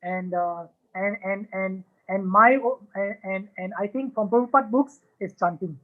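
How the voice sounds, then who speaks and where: Singaporean man, halting, on a muffled call. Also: sits high. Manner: halting, many repeated "and, and" restarts. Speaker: guest speaker answering questions. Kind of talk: Q&A after a lecture. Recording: muffled lo-fi video call audio.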